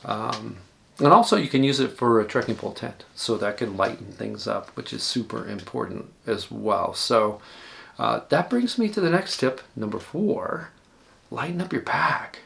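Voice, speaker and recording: A man in his sixties giving casual, rambling hiking advice, often pausing and correcting himself. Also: mid-range voice, gravelly. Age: 60s. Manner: relaxed, rambling, self-correcting. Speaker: hiking vlogger.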